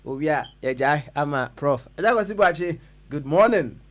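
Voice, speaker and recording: Male radio host, loud and brisk, slightly high voice. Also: expressive. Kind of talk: radio talk show hosting.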